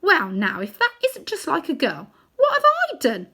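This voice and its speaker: A woman's voice: high-pitched, huge pitch swings, normal speed, pushed and bright, voice pushed up, full character voice. A woman reading aloud, voicing an anxious young boy who pleads and protests. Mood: pleading indignant. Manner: pleading, exasperated.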